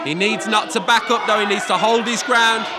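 A man with a British accent commentating a kickboxing bout, talking in an analytical, measured way and often raising his voice over the crowd. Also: sits high, fairly even intonation. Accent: British English. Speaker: fight commentator. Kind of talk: ringside commentary. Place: function hall at a kickboxing event. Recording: loud crowd and hall noise behind.

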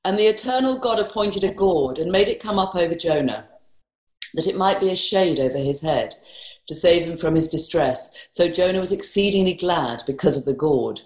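A woman narrates a scripture story from a script. Her voice is recorded in an echoey room over a video call. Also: lowish voice.

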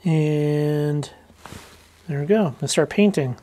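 A woman's voice: deep voice, takes it slowly, dark tone. A woman vlogger giving a casual hands-on demonstration, informally thinking aloud as she goes. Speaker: rockhounding vlogger.

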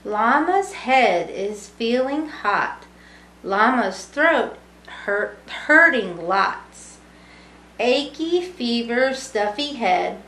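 A woman in her thirties reads a picture book aloud to young children in simple, child-directed talk. Her voice sits a little high and she takes her time. The room is echoey, with faint noise behind her. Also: lively intonation, very slow, sharp tone. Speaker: student volunteer reading a picture book to children. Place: small room with brick wall, indoors.

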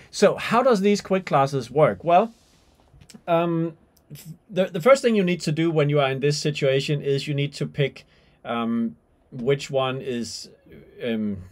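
Informal middle-aged man thinking aloud. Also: medium pitch. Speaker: tutorial presenter. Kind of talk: unscripted software and hardware demonstration. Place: small indoor room with skylight window.

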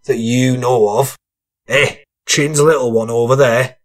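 Man acting slow, boastful lad. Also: medium pitch, fairly even intonation, natural voice. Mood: gossipy banter. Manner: slangy, teasing. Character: cheerful, boastful, cackling male drug-dealing pal. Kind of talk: full-cast audiobook character acting.

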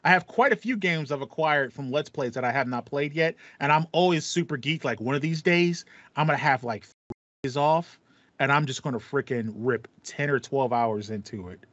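An American man co-hosts a casual gaming chat in a playful, slangy manner. His voice sits at a middle pitch. The home recording is very dry and slightly muffled. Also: moderate pace, fairly bright tone, no room sound. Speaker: podcast co-host. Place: small home room with acoustic foam, indoors.

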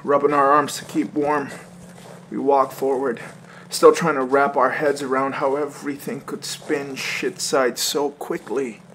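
An actor playing a sardonic, anxious, foul-mouthed young male survivor who narrates in first person for an audio drama, often halting and stammering. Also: medium pitch, little pitch movement, a bit bright, roomy sound, a little hiss or hum, lightly acted, poor audio. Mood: grim, weary narration.

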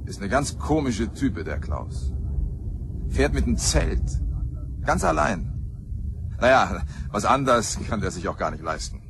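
A man's voice: lowish voice, big pitch swings, unhurried, pauses often, full character voice. A man playing a chatty, disdainful husband in a crime radio play, talking colloquially and hesitantly. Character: chatty widowed husband, disdainful of his brother. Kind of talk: naturalistic radio drama dialogue. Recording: clear vehicle noise behind.

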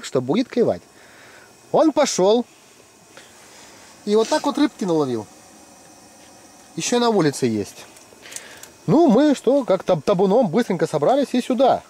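A middle-aged man chatting casually and teasing his friends while ice fishing in a tent. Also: sits high. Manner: joking, teasing friends, lively. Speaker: fishing vlogger. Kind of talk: casual commentary.

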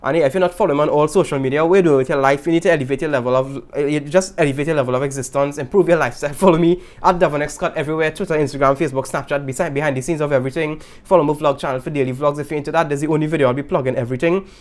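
Vlog host, young trini guy, quick talk, raised voice, lively, gestures and laughs. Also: on the high side. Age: young adult. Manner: animated, gesturing, laughing asides. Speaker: YouTube host. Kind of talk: casual vlog talk to camera. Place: small indoor room with guitars.

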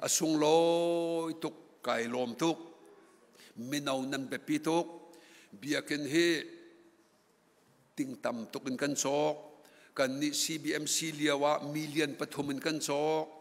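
Middle-aged preacher in reverberant church. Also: male voice, on the high side, steady tone, slow pace, pauses often. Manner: gestures widely, rising to emphatic passages. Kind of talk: sermon. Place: large church hall. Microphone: pulpit microphone. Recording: long church hall reverb.

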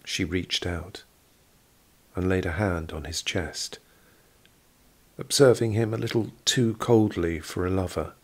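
Slow, low-voiced period drama narrator. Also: male voice. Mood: grave, brooding. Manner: measured, deliberate literary delivery. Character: brooding male narrator of a period romance.

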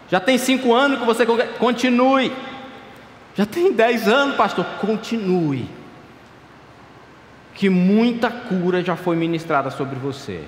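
A pastor in his thirties preaching a sermon at a pulpit, passionate and pleading, dropping to quiet asides. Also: a little high, a little hiss or hum. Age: 30s. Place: large dark church stage at a pulpit. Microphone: stand mic at a clear pulpit. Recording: echoey church hall, faint ambience.

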